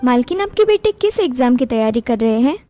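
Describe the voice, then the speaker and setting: A woman's voice: high-pitched, sing-song, fairly quick, mellow, faint music behind. A woman voicing an earnest, polite 22-year-old cook in a cartoon story, speaking deferentially. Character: poor hopeful young woman cook, 22, earnest.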